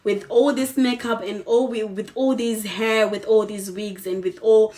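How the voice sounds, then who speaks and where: A woman's voice: medium pitch, fairly even intonation, unhurried, a little mellow, a little hiss or hum. Zimbabwean woman chatting casually, emphatic. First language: Shona. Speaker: vlogger. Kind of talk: casual chat to camera.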